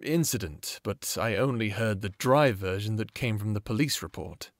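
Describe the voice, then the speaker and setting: A man playing an attentive, gentle therapist in an audio roleplay, measured, polite and probing. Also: fairly low pitch, background noise, lightly acted. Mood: welcoming, careful. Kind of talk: audio roleplay acting, one-sided conversation.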